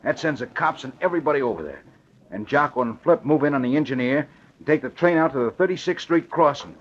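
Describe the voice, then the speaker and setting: An actor playing a tough, plain-spoken crook, a gang underling, in 1940s radio style on a muffled old recording. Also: male voice, mid-range voice, animated, fast talker, lightly acted. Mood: businesslike. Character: short husky red-haired crook, talkative underling.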